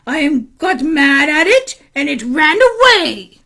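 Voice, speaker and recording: Casting: high-voiced, exasperated storybook boy voiced by a woman, emphatic and animated. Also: a little slow, full character voice. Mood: upset. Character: Aaron, exasperated young boy with runaway hair.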